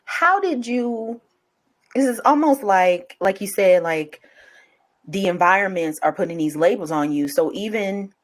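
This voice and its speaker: A woman's voice: medium pitch, lively intonation, a little slow. A woman hosting a podcast, asking questions in a chatty, casual way with frequent backchannels. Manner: chatty, backchannels often, laughs. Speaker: podcast host. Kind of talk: casual interview conversation.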